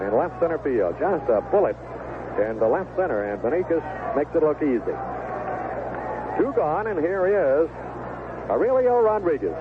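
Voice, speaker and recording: A man calls a ball game in a folksy, conversational manner, his pitch swinging in an animated way. The sound is a thin, narrow 1970s radio broadcast with clear noise behind him. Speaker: baseball play-by-play announcer. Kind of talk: 1970s radio baseball commentary. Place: stadium broadcast booth. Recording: lo-fi, noisy vintage AM radio broadcast. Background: ballpark ambience and noise.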